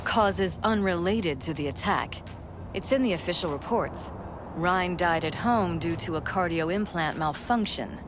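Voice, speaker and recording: A woman's voice: on the low side, a little slow, noisy background, lightly acted. A woman playing a poised, shrewd middle-aged politician's wife in a video game, guarded and businesslike. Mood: guarded, matter-of-fact. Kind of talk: cinematic video game acting.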